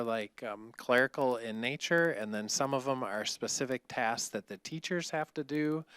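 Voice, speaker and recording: Smooth-voiced man at a board meeting. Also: medium pitch, a bit bright, no room sound. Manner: measured, with frequent fillers. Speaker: school district administrator. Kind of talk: formal meeting remarks.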